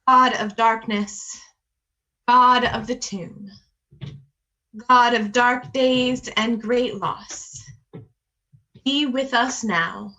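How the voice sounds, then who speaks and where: A woman with a clear-cut, bright voice leads worship with a scripted benediction. She speaks slowly and solemnly, letting repeated phrases fall in a measured cadence. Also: fairly high pitch, poor audio. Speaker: worship leader. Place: online video call.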